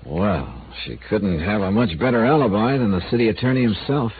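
A man's voice: on the low side, animated, brisk, close to an everyday voice. An actor playing a dry, probing male insurance investigator in an old radio drama. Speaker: lead actor. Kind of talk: 1950s radio drama acting. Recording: tinny, hissy old radio broadcast.

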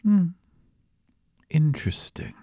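A man acts out a creepy, secretive woman in a fantasy tabletop game. She is coy and insinuating, stretching her words, swinging in pitch and dropping into breathy murmurs, on a dry close mic. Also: very animated, slow pace, warm tone, no echo, heavily acted. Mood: probing. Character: sly, secretive, creepy woman with long fingers.

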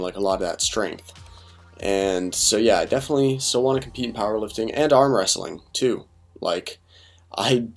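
A young man with a low voice talks softly and keeps it hushed, as if trying not to wake anyone. He rambles casually with plenty of fillers and restarts. Faint music runs under the close voice-over. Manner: deliberately quiet and low-key. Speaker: fitness vlogger. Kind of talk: quiet late-night voice-over.

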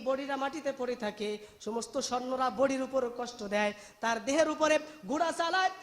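High-pitched impassioned preacher man in reverberant hall. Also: fairly bright tone. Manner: emotive, declamatory, repeats phrases for emphasis. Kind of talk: impassioned religious sermon, storytelling to a congregation.

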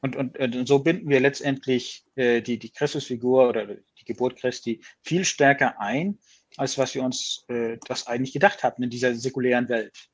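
Husky non-native man on a video call. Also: mid-range voice, fairly even intonation, normal speed. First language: not German. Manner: hesitant, restarts words, reflective. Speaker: guest. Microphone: video call webcam.

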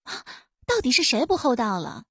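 A woman's voice: sits high, wildly swooping pitch, normal speed, slightly rough, no echo, clean studio sound, pitched above the performer's own voice, exaggerated voice. A woman voicing an eager, cheeky young female constable who wheedles and pleads in an ingratiating way. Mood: flustered, indignant.

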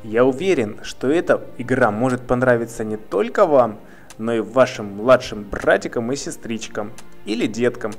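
A man giving scripted promotional narration for children as a video host. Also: mid-range voice. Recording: music under the voice. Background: music.

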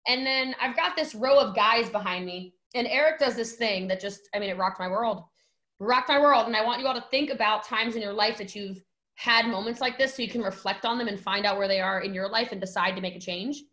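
A woman's voice: medium pitch, brisk, bright, forceful tone. A young woman telling a personal story in an emphatic, dramatic, slangy way, as a podcast guest on a video call from home. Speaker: podcast guest, network marketing entrepreneur.

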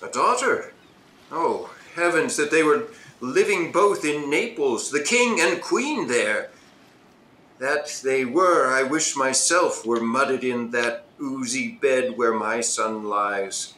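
A man's voice: medium pitch, animated, slow pace, pushed and bright, a little hiss or hum. A man playing a grieving, repentant king and father in a Shakespearean radio play, speaking with earnest formality. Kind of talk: Shakespearean audio drama acting. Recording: roomy, echoing home recording.

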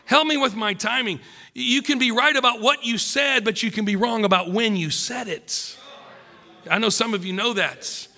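A middle-aged man preaching at a pulpit, emphatic and joking, a little croaky from a cold. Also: extremely high pitch, moderate pace, sharp tone, long reverb tail. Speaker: pastor. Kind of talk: sermon. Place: church stage at a wooden pulpit. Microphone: podium mic.

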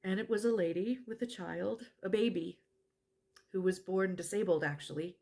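An earnest middle-aged woman played by an actress gives a personal testimonial. She speaks deliberately, pausing for emphasis, at a medium pitch, in a dramatized monologue about her work. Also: darkish tone, lightly acted. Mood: earnest, moved. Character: earnest woman who helps domestic violence victims. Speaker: actor in a dramatized narrative. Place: living room at home.

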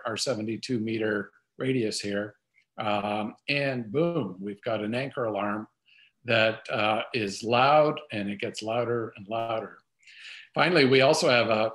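A man's voice: medium pitch, a bit bright. An older man giving an informal, explanatory webinar product demonstration over a video call. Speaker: presenter.

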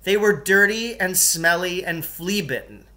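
A defiant schoolboy with a smart mouth, as a male storyteller plays him. The voice is pitched up, bright and insistent. He speaks bluntly and emphatically, as in an audiobook. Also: measured pace. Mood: gleefully provocative. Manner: blunt, emphatic, mock-formal threats.

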